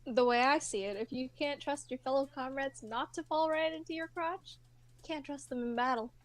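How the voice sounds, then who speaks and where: Woman voicing a high-pitched, sly teasing kid. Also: moderate pace, natural voice. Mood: casual teasing. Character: sly, teasing young tech whiz kid.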